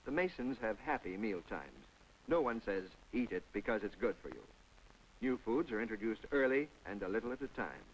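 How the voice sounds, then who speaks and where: A man's voice: lowish voice, very animated, normal speed, slight background noise, rough recording. A man narrating a scripted 1950s public information film in a folksy, instructive way, with conversational asides to the viewer. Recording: vintage 1950s film soundtrack.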